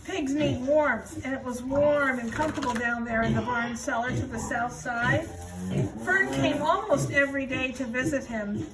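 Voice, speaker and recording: A woman's voice: high voice, normal speed. A woman reading a children's story aloud as narrator. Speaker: storybook reader, school staff. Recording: noisy room, distant microphone.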